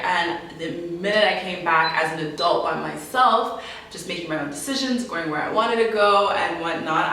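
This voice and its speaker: A woman's voice: lowish voice, distant mic, a little hiss or hum. A young woman from Canada, answering interview questions in a casual way and laughing often. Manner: laughs often, animated, chatty. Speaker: interview guest. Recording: echoey room, voice from off mic.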